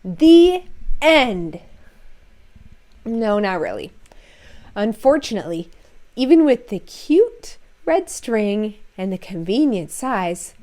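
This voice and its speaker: A woman's voice: mid-range voice, sing-song, slow, long pauses, mellow. A woman reading a children's story aloud expressively, with playful asides to listeners. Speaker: storybook read-aloud narrator.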